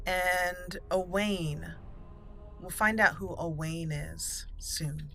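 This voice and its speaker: Lady doing lets play commentary, deliberate, lowish voice, some music behind her. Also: fairly even intonation, vocal fry, a bit bright. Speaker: let's play host. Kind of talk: game roleplay commentary, reading text aloud. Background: game music.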